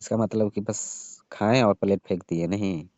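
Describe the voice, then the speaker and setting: Young man, deep voice, pleading, insistent, emotional phone talk, muffled dry call recording. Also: fairly even intonation, normal speed, darkish tone, dry recording. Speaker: caller, jilted lover. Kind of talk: casual emotional phone conversation.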